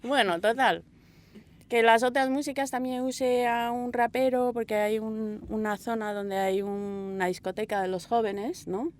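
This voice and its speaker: A woman from Spain speaking as a director in an interview, expressive and rambling, telling things informally in a sound booth. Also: fairly high pitch, measured pace. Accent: Spanish (Spain). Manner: expressive, rambling, acts out quoted dialogue. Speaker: audio drama director being interviewed. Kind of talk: informal, anecdotal interview answers.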